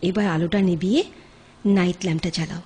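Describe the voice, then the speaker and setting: A woman's voice: fairly low pitch, fairly even intonation, fast talker, warm tone, a little hiss or hum, natural voice. An actress in a Bengali audio play voicing a devoted, caring woman who speaks expressively, with affectionate coaxing. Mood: soft, intimate. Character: devoted, caring woman, encouraging her troubled lover.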